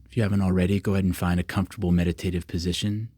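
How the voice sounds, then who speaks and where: A man leading a guided meditation, giving calm, simple, repeated instructions with long pauses between them. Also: sits low, no room sound. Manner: calm, repetitive, unhurried cues with long pauses.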